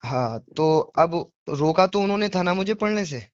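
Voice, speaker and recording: Apologetic man explaining himself, higher-pitched voice. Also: lively intonation, moderate pace, dry recording. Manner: apologetic, insistent self-justifying, repeats himself and asks rhetorical questions. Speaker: devotional poetry reciter on a phone call. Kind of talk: earnest explanatory phone conversation. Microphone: phone call. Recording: dull lo-fi recorded phone call.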